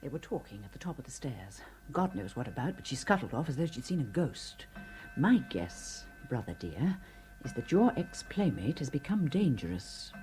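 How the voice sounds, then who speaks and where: An actress playing a manipulative upper-class sister in a radio drama, with a clipped, commanding delivery. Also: female voice, rumbling low, very animated, moderate pace, warm tone, soft background music, poor audio. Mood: cold. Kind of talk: 1970s radio drama acting.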